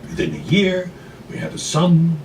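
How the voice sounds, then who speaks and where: A man's voice: a little high, huge pitch swings, moderate pace, creaky, pitched above the performer's own voice, heavily acted. A man voicing an earnest, hopeful immigrant mother as she reads out her heartfelt letter in a live story reading. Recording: noisy live room, distant mic.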